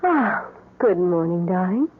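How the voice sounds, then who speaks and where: An actress playing a gentle, doting middle-aged wife in an old-time radio drama, solicitous, dreamy and genteel. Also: female voice, on the low side, sing-song, a little slow, a little hiss or hum. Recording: tinny vintage radio broadcast.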